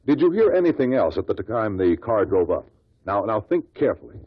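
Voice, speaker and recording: Husky American investigator, 1950s radio drama; formal, pointed, lively inflection, muffled old recording. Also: male voice, lowish voice, fairly quick. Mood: probing. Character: probing, courteous male amateur investigator at an inquest.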